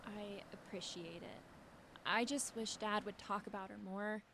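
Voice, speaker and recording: A woman's voice: mid-range voice, steady tone, unhurried, noisy background, natural voice. A woman playing a grieving, withdrawn teenage girl with a dry, wry manner in an audio drama. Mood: melancholy. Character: grieving teenage girl, withdrawn, sardonic, missing her mother. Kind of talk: fiction podcast audio drama acting.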